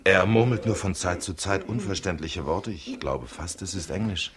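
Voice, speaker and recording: An actor playing a deferential, learned young junior doctor in a 1960s radio crime play, speaking pedantically. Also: male voice, low-pitched, normal speed, crowd noise, natural voice. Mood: earnest, scholarly. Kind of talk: 1960s German-language radio crime drama acting.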